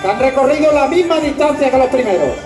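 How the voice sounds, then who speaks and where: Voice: high-pitched, animated, fast. A finish-line announcer calls a live race over a PA, stretching names out emphatically and rallying applause. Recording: echoey PA at crowded event, music behind.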